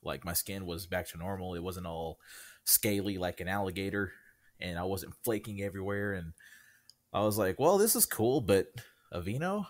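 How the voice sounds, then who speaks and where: A man's voice: low voice, normal speed. A man in his thirties co-hosting a casual podcast, telling stories in a wry way. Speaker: podcast co-host. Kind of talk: casual chat.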